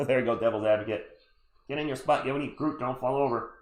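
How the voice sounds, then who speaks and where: A man's voice: medium pitch, a little fast, background noise. A man who is sick with a cold, hosting a casual live play-by-play stream and chatting jokily with viewers. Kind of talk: casual sports commentary and chat with viewers.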